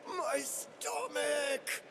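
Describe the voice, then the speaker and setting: A man's voice: very high-pitched, expressive, very slow, creaky, crowd noise, heavily acted. A man playing a hapless, groaning knight in a video game, with faint music behind. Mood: agonised.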